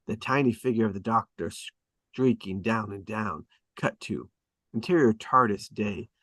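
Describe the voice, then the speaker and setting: A man's voice: lowish voice, fairly bright tone, no echo. Dry, close-sounding man narrating a script. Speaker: stage directions narrator. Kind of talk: scripted dramatic narration at a table read.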